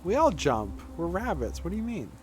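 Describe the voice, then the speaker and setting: A man's voice: high-pitched, wildly swooping pitch, moderate pace, faint music behind. A man casually commentating as he streams a video game, chatting in a playful, exclaiming manner. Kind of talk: casual game commentary with chat and reading aloud.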